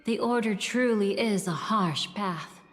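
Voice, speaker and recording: A woman voicing a composed, wistful storyteller in a video game, narrating in a measured, reflective and eloquent way. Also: mid-range voice, big-room sound, faint music behind, close to an everyday voice. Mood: reflective, solemn. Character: composed, wistful female storyteller recounting past events.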